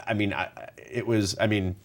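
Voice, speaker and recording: A man with a fairly low voice hosting a television interview, relaxed and chatty. He leads into his questions with casual asides and short laughs. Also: moderate pace. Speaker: interview show host. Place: television studio.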